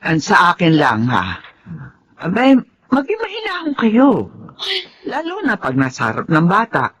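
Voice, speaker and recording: A woman's voice: lowish voice, huge pitch swings, a little slow, fairly bright tone, husky, heavily acted. An actress playing a patient, peacemaking grandmother in a Filipino radio drama, soothing and motherly, with a Filipino accent. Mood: pleading, patient.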